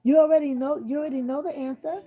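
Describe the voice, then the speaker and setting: A woman with a high voice hosts a live tarot reading and gives earnest, encouraging advice. She repeats her points and keeps checking in with her listeners. Faint music plays behind her. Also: fairly even intonation, fast. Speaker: tarot reader hosting a live stream.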